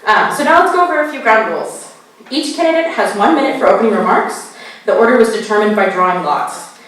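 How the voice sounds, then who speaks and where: Woman moderator, calm careful reading, big echoey hall, sounds far off. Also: medium pitch. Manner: slow, careful spelling out of web addresses. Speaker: forum moderator. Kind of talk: reading forum rules aloud. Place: college meeting room.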